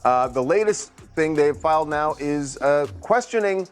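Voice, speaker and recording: A man talking off the cuff as a news panel commentator, halting and a little wry. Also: a little high, expressive, clear, resonant tone, faint music behind. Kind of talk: unscripted news discussion.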